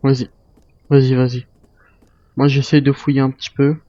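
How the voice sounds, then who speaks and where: A man's voice: mid-range voice, level tone, normal speed, dark tone, no room sound, lo-fi sound. A man chatting casually in character as a wary survivor while playing a zombie roleplay game.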